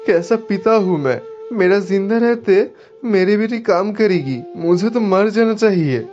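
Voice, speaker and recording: Cartoon casting: male narrator as anguished, loving poor father; mellow tone, muffled track with music. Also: sits high, measured pace. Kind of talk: animated moral story dialogue. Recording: muffled audio with background music.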